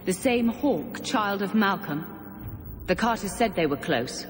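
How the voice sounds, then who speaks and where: A woman's voice: medium pitch, animated, unhurried, lightly acted. An actress playing a proud, determined woman commander in a fantasy game, speaking with earnest, insistent authority. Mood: startled, intense. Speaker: military commander. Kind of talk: dramatic fantasy game acting. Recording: long reverb, faint ambient noise.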